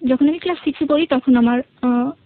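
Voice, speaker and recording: A woman's voice: high voice, brisk, narrow, tinny audio. A woman with a Bangladeshi accent telling her personal story as an interview guest, hesitating and repeating herself to explain. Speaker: radio show guest.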